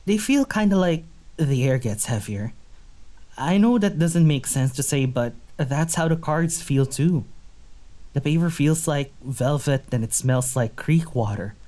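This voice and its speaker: A woman's voice: deep voice, lively intonation, unhurried, dark tone. A woman acting a curious, easygoing young traveler in an audio drama, musing conversationally with some hesitation. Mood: musing, wonder.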